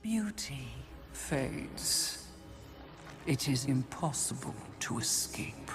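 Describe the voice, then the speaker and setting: Vain sorceress, very slow and creaky. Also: female voice, extremely low pitch, exaggerated voice. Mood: bitter, brooding. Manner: grandiose, theatrical, deliberate. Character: vain, megalomaniac sorceress obsessed with beauty. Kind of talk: video game voice acting. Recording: music behind the voice.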